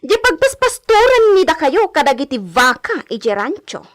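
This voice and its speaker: A woman playing a haughty, spoiled young landowner's daughter in a Filipino radio drama, with a Filipino accent and drawn-out, Spanish-flavoured phrasing. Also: high voice, sing-song. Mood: haughty, coaxing. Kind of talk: Ilocano radio drama acting.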